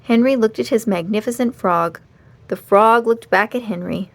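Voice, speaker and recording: A woman narrating a children's storybook aloud in an expressive read-aloud style. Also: fairly high pitch, normal speed, vocal fry.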